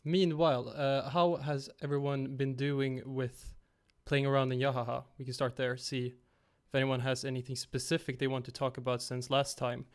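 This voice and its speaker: A young man hosting a casual game-creation livestream from home on a headset, slightly raspy after being ill. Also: mid-range voice, normal speed, hardly any pauses. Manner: relaxed, rambling, talks to chat.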